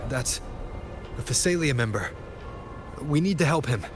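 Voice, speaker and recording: A man's voice: medium pitch, lively intonation, measured pace, natural voice. An actor playing an earnest, curious, helpful male adventurer in a video game. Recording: game dialogue under clear music.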